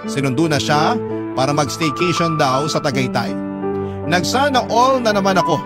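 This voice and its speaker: A man's voice: a little high, lively intonation, moderate pace. A man with a Filipino accent narrating a story in dramatic radio storytelling style. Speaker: narrator reading a letter sender's story. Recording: loud music under the voice. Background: loud background music.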